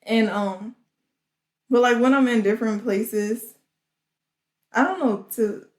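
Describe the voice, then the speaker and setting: A young woman from New Orleans with a light New Orleans accent, co-hosting a podcast in a relaxed, conversational way that is full of "like". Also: a little high, slow. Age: young adult. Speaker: podcast co-host. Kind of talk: casual chat. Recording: roomy echo.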